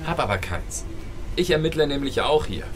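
Audio-drama ticket inspector, male actor: sarcastic, bossy, lively intonation, noisy ride. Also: on the high side, moderate pace, close to an everyday voice. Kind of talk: German audio drama acting.

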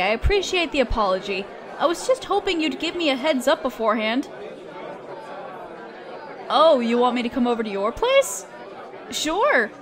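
Woman acting as caring bestie in a comfort roleplay, high voice, emphatic, faint crowd noise behind. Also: heavily acted. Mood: puzzled, reassuring. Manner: expressive, emphatic, casual with mild swearing. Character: caring, protective, indignant best friend. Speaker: voice actor in an audio roleplay.

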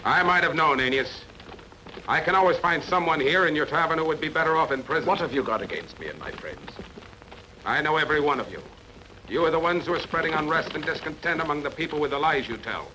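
A man's voice: a little high, animated, fast, long pauses, natural voice. A man playing a menacing, accusing officer of the guard in a noisy film dub. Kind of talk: dubbed costume-adventure film acting. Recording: noisy old film soundtrack.

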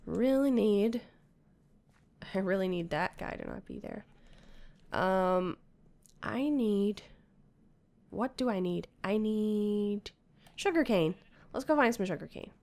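A woman in her thirties on a headset mic, chatting casually as she plays a game, muttering to herself and scolding the creatures on screen, her voice going hoarse. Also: medium pitch, expressive, slow, long pauses, darkish tone. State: losing her voice, coughing. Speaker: gamer. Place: home room with bookshelves.

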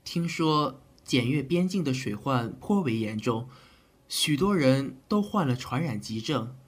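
A man's voice: mid-range voice, lively intonation, a little slow. A man playing an earnest, polite army physician in an ancient Chinese audio drama, with a hesitant, courteous way of talking.

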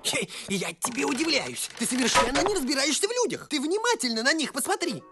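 A man voicing a cunning, cowardly talking horse in an animated comedy, chattering in a wheedling, theatrical way. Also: very high voice, a little fast, over loud music. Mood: conspiratorial, alarmed. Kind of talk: animated comedy film acting.

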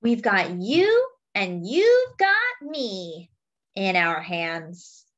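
A woman's voice: medium pitch, big pitch swings, drawn-out words, fairly bright tone, lo-fi sound. A woman reading a picture book aloud to young children, in simple phrases as a children's storyteller.

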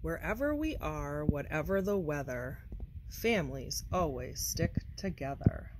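A woman reading a children's picture book aloud with deliberate, expressive, measured phrasing. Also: low voice, very noisy. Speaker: storybook reader.

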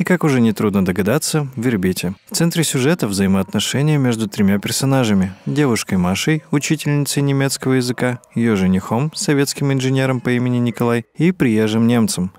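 A man's voice: medium pitch, a little mellow. Male news reporter narrating a report. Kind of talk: scripted voice-over narration.

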